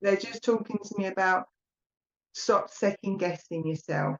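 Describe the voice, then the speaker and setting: A woman with an Essex accent giving a live psychic reading, chatty and rambling, talking warmly to viewers by name. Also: mid-range voice, measured pace, highs cut off. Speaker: psychic medium. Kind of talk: live online mediumship reading.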